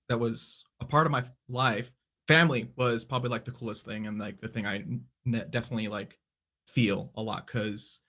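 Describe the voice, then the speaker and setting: A man's voice: mid-range voice, fairly bright tone. A man chatting casually as a panelist, rambling and often restarting mid-sentence. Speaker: panelist, drag king streamer.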